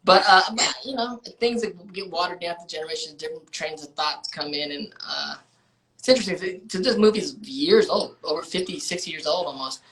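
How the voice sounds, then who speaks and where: A woman's voice: fairly low pitch, expressive, brisk, a bit bright, a little hiss or hum, poor audio. A woman from the United States hosting a film club chat, loose and chatty with lots of fillers and restarts. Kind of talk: casual livestream chat.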